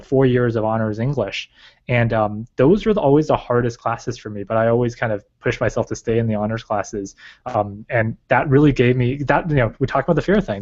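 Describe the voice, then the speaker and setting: A man's voice: mid-range voice, a little mellow. Thoughtful man, dull lo-fi sound. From China. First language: Chinese. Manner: thoughtful, rambling, many fillers. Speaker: guest, research advisor. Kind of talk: casual interview talk. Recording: slightly dull lo-fi recording.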